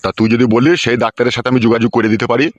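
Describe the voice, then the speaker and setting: A man's voice: medium pitch, flat delivery, very fast, no echo, highs cut off, lightly acted. A man voicing a greedy, foul-mouthed village uncle in a comedy cartoon, scolding in crude slang. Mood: bossy scheming. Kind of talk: Bengali comedy cartoon dubbing.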